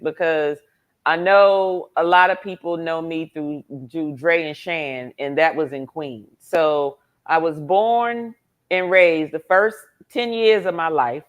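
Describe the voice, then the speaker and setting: A woman from Brooklyn explains things as a guest. Her voice is low, and her tone is blunt and matter-of-fact. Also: unhurried. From Brooklyn, New York. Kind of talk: casual explaining.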